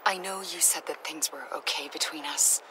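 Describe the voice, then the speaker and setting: An earnest, troubled agent in a game played by a woman, with a low voice that often turns breathy. She speaks in a hushed, hesitant way over clear ambient noise. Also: normal speed, natural voice. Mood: guilty, apologetic. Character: Avesta, earnest troubled adult female government agent. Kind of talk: naturalistic video game acting.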